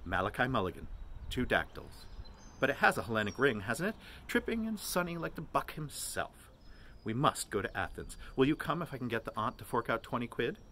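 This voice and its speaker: A man's voice: mid-range voice, big pitch swings, sharp tone, slight background noise, voice pushed up. A man voicing a plump, mocking young man who declaims grandly and teases in a jovial, theatrical way. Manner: grandiose mock-preacher declamation, teasing, briskly jovial.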